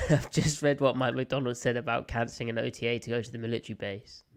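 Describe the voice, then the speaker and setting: Young British male podcast host, smooth-voiced, a bit snuffly, playful and opinionated. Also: mid-range voice, fairly even intonation, fast. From United Kingdom. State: slight head cold, snuffly. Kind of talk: casual live podcast chat.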